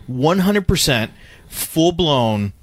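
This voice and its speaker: A man's voice: fairly high pitch, big pitch swings, slow, no room sound. A man in his early thirties co-hosting a casual podcast chat, candid and self-mocking. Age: 30s. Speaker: podcast co-host.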